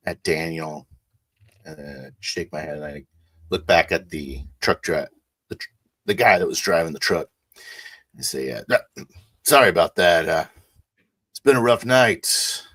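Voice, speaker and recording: A man playing a tabletop game, improvising his character's actions and lines in a halting, hesitant way. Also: sits low, fairly bright tone, no echo. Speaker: player. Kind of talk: improvised first-person roleplay narration.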